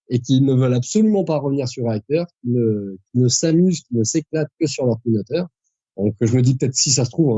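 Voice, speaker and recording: A man's voice: medium pitch, dark tone, breathy edge, no echo, lo-fi sound. A man in his forties, a pilot guest on a podcast, explaining at length with frequent hesitations and restarts. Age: 40s. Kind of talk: conversational podcast interview.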